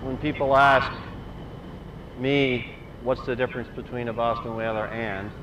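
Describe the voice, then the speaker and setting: A man's voice: medium pitch, steady tone, unhurried, far from the mic, dull audio. A man leading a factory tour, explaining the work in a matter-of-fact way and confirming questions, speaking into a handheld mic. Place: large factory floor indoors. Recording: echoey factory hall with loud machine noise, from off mic.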